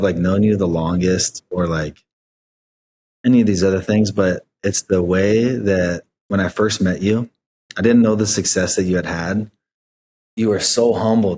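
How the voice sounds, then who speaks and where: A man's voice: lowish voice, darkish tone, slight background noise. A man, talking casually as the host of a podcast interview.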